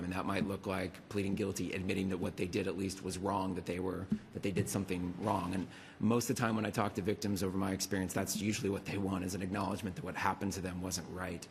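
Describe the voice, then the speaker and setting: Hoarse man with a very low voice, quick, halting delivery with fillers, committee presenter in a meeting room. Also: slight background noise. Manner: fast, halting with many fillers and restarts. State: hoarse from a cold. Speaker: city attorney's office lawyer. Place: city council meeting room.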